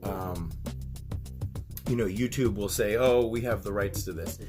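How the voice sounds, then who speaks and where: A man chatting casually to viewers as host of a record review channel, halting with pauses. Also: lowish voice, over music. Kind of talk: casual, low-key chat to viewers. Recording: noisy room recording.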